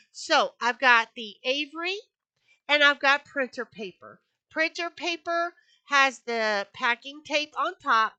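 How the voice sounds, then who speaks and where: High, slow-talking sniffly crafting woman. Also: bright, forceful tone, no room sound. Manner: chatty, repeats herself, many fillers. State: sniffly from allergies. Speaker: craft channel host. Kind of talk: casual crafting tutorial. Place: home craft room.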